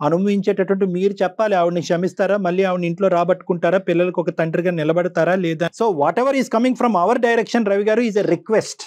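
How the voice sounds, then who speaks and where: Fast-talking middle-aged man, fairly high voice. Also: steady tone, darkish tone. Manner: measured, explanatory, mixes English words into advice. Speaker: psychiatrist counsellor on a show. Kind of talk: counselling on a family dispute show.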